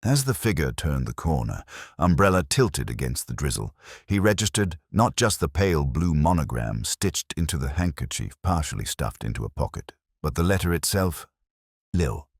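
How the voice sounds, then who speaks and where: A man narrating a mystery story in a measured storytelling manner, with a husky voice. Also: extremely low pitch, very animated, no echo, clean studio sound.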